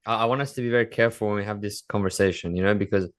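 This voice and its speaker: A man's voice: fairly low pitch, fairly even intonation, rapid-fire. A man co-hosting a casual podcast, asking probing questions with a teasing edge. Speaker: podcast co-host.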